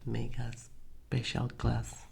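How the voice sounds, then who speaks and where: Soft-whispering man, gravelly and slow. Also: mid-range voice, monotone, slight background noise. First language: Spanish. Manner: soft whispering, hesitant with fillers. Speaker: ASMR creator teaching Spanish. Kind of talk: soft-spoken ASMR lesson. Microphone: Blue Yeti condenser mic.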